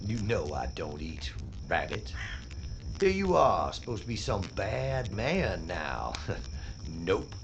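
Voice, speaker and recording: Guy doing a cocky bounty hunter, lowish voice that swings up and down, noisy bg. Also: big pitch swings, slow, creaky, exaggerated voice. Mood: mocking. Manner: mocking, drawling, menacing. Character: swaggering gruff male bounty hunter Lamort. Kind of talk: audio drama acting.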